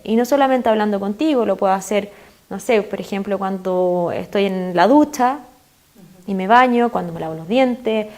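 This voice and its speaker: A woman's voice: fairly high pitch, darkish tone. A woman with a Chilean accent, a guest expert in an interview, explaining earnestly with frequent fillers. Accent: Chilean Spanish.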